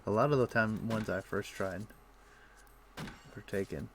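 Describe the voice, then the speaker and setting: A man streaming a video game, explaining things in a relaxed, meandering way with frequent pauses. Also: fairly low pitch, a little hiss or hum. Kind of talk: casual gameplay chat.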